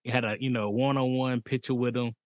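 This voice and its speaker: A man in his thirties chats casually on a podcast and reacts with disbelief to what his partner says. His voice has a middle pitch. The sound is dry and close, with no room echo. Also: flat delivery, quick pace. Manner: reactive listener, laughs and exclaims in disbelief. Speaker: podcast co-host. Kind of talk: casual chat.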